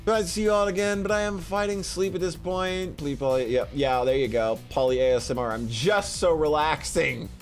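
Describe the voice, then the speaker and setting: A man streaming game reviews in a casual, rambling, expressive and effusive way, talking to a live chat and swearing casually. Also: fairly high pitch, normal speed, few pauses, faint music behind.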